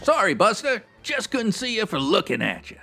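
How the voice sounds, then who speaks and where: A man playing a slangy, wordy strip club manager with a raspy, theatrical delivery, in a comedic old-time radio detective style. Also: high voice, wildly swooping pitch, normal speed, sharp tone, heavily acted. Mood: admiring, breezy. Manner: hipster slang with florid big words, theatrical. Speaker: actor in a comedy audio drama.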